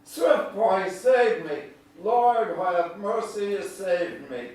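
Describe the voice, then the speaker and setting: Man as old fisherman, rural American drawl, folksy, unhurried, distant and very echoey staged reading. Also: high voice, darkish tone. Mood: alarmed. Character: folksy, protective older male country fisherman. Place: indoor venue, staged reading. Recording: very echoey room from far off, noisy.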